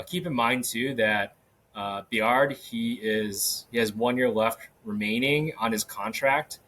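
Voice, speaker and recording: Low-voiced man rambling through sports analysis. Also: fairly bright tone, a little hiss or hum. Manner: casual, rambling, many fillers and restarts, chuckles. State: slightly hoarse. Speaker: podcast host. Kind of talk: solo sports podcast analysis. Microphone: podcast microphone.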